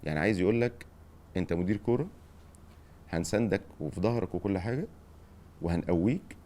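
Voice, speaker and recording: A man's voice: sits low, fast, mellow. A middle-aged man with an Egyptian accent hosting a chat and giving advice, often checking in with rhetorical questions. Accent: Egyptian Arabic. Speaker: podcast host, former football director.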